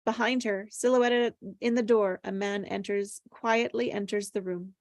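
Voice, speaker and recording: A woman's voice: mid-range voice, fairly even intonation, measured pace, heavy background noise. A woman reading stage directions aloud from a script at a table read, as scripted narration.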